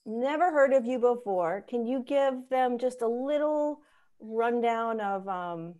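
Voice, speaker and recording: Upbeat female host, fairly high voice, video call from home. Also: takes it slowly, lo-fi sound. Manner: warm welcoming, upbeat. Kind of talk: casual chat. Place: bright room at home.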